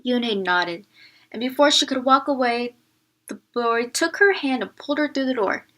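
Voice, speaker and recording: A woman with a slightly high voice reads a picture book aloud as a teacher would. She narrates the story and stops to explain words and ask questions. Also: expressive, normal speed, bright, forceful tone.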